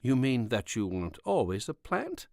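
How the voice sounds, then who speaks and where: A man voicing a gentle, puzzled military doctor who questions a patient in a measured way, in an audiobook. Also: mid-range voice, huge pitch swings, gravelly, no echo, clean studio sound. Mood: gently probing. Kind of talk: audiobook character dialogue.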